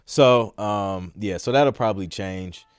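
A man's voice: on the low side, unhurried, clear, resonant tone. A man hosting a podcast, answering questions in a casual, rambling way, thinking aloud with lots of filler phrases. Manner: rambling, thinking aloud, frequent "like" and "you know". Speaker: podcast host. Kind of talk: casual live Q and A talk, reading and answering questions.

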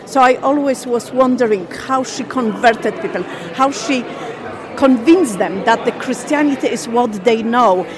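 A woman's voice: a little high, a little slow, a crowd in the background, poor audio. A woman from Poland, a Polish native, telling the story of her traditional craft in a radio interview in careful, simple phrases. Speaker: craft business owner. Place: busy exhibition hall.

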